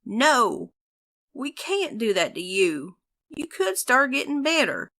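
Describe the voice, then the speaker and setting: A woman's voice: on the high side, very animated, slow pace, vocal fry, bright, forceful tone, exaggerated voice. A woman voicing a protesting, morally firm survivor in a comic dub. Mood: pleading, firm.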